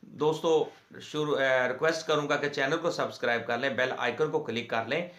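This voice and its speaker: A man with a Pakistani accent gives an informal legal explainer in a halting, repetitive, explanatory way, as the host of an advice channel. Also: mid-range voice, steady tone, a bit bright. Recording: echoey room.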